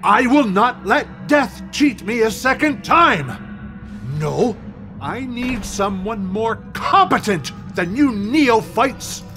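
A haughty, scheming villain lord, acted by a man heading a dark council in a fantasy drama. He talks slowly and condescendingly, with theatrical swings in pitch, over clear music. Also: lively intonation, full character voice. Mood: angry, commanding. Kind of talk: fantasy audio drama acting.

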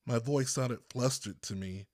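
A man from Texas reading his own first-person story as an audiobook narrator, with deliberate phrasing and pauses. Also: medium pitch, a little mellow, dry recording, studio quality. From Texas, United States.

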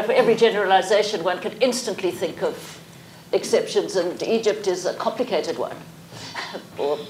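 A woman's voice: lowish voice, a little mellow. Middle-aged woman, academic, hesitant with ums, echoey lecture room, faint noise. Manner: hesitant, lots of ums, self-correcting. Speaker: academic witness. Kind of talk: panel discussion. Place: lecture theatre.

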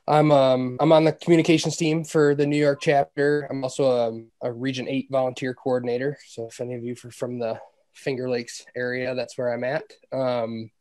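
A man who coordinates volunteers, introducing himself in a friendly, steady way on a video call. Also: mid-range voice, no echo.

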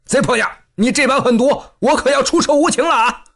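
A man voicing a brash, hot-tempered young swordsman who shouts and blusters in a storytelling reading. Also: very high-pitched, brisk, voice pushed up. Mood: angry. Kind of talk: storytelling audiobook.

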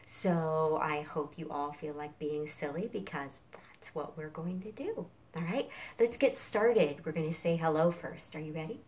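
A woman's voice: sits low, measured pace, hardly any pauses, darkish tone, slight background noise. A middle-aged woman presenting a children's storytime as host, recorded in a home kitchen a few feet from the camera. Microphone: camera a few feet away.

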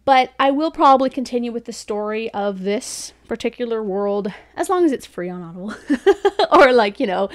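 A woman in her thirties giving a chatty, casual book review from a room at home, laughing often between thoughts. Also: a little high. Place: home room with bookshelves, indoors. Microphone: studio mic beside her on desk.

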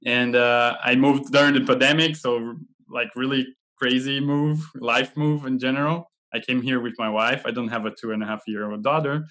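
A man's voice: mid-range voice, moderate pace. A man in his thirties from Brazil, talking relaxedly as a guest on a video call over a headset. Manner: relaxed, with fillers and light laughs. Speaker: guest, head of content. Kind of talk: casual video-call interview. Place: home room.

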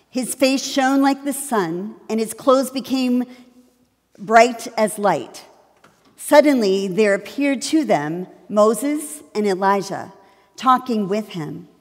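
A middle-aged woman pastor reading the gospel from a pulpit in a measured, deliberate way. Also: mid-range voice. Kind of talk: slow liturgical gospel reading. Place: large church sanctuary. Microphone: pulpit microphone. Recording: long church reverb.

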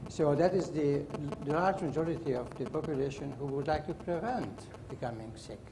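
A man in his sixties making deliberate, halting but emphatic points as a panelist in a conversational discussion. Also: a little high. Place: indoor panel stage in a function room. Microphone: lapel. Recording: noisy live event room.

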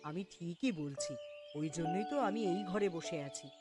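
Woman acting a patient older mother-in-law, low-voiced. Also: moderate pace, close to an everyday voice. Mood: concerned, hushed. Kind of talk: Bengali family cartoon acting. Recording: loud music behind the voice.

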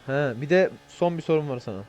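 A slightly high-voiced man rattles along at a quick clip as he plays an online roleplay game. He is brash and casual, full of slang and profanity. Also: animated, a little mellow. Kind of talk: casual chat while gaming.

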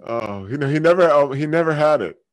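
A man hosting a live stream, heckling strangers in random video chats with loud, crude, swearing banter. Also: a little high, expressive, brisk. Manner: loud, taunting, swearing often. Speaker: streamer host.

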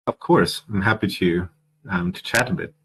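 A man's voice: sits low, normal speed, a bit bright, breathy edge, rough recording. A young man in his twenties with a German accent, a guest chatting on a video call and hesitating with many fillers. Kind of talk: casual interview answers. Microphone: headset on video call.

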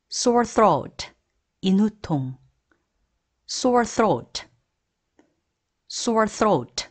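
Slow, low-pitched woman drilling words. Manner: repeats each word clearly, evenly paced. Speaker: English vocabulary teacher. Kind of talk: slow, deliberate word drills.

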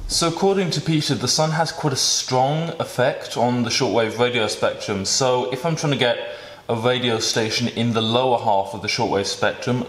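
A man's voice: on the low side, reverberant. A young man presenting a hobby video in a casual, explanatory style to camera. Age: young adult. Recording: clear background noise, some outdoor ambience.

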